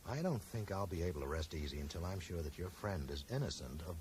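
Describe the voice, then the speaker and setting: Lively-toned, earnest amateur investigator. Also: male voice, sits low, fast. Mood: probing. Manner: tactful but probing. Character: earnest, polite, persistent man investigating a friend's mystery. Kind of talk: 1980s radio drama acting. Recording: noisy 1980s radio broadcast recording.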